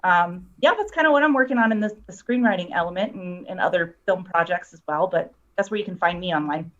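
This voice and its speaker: A woman's voice: on the low side, fast talker, a bit bright. Woman explaining casually, dull lo-fi audio. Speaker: guest, screenwriter and story analyst. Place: online video call.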